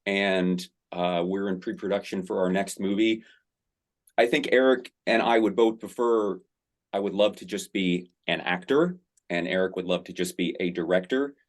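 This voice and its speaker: A middle-aged man, an actor, answering interview questions in a rambling, enthusiastic way with many fillers. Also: sits low.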